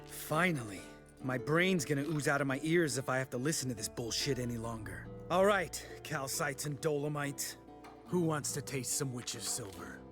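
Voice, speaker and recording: An actor playing a cynical, abrasive warrior in a fantasy game, sarcastic and brash. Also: male voice, medium pitch, expressive, moderate pace, music in the background, natural voice. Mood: wry, casual, then brash, impatient. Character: cynical, abrasive younger male witcher warrior.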